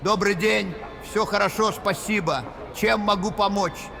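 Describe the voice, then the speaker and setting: High creaky fairground merchant played by a man. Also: steady tone, moderate pace, music in the background, a crowd in the background. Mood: helpful. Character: helpful Russian fairground merchant man giving directions. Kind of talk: horror audio drama acting. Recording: echoey hall, background ambience noise.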